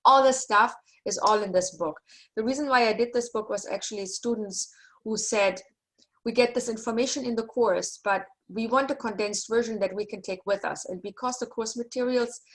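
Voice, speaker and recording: A woman's voice: mid-range voice, fairly quick, low-quality recording. German lady prof on zoom, mid voice, laid back, keeps telling students not to stress. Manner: relaxed, reassuring, chatty with small restarts. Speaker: university course instructor. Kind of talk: online class orientation over video call. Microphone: video call.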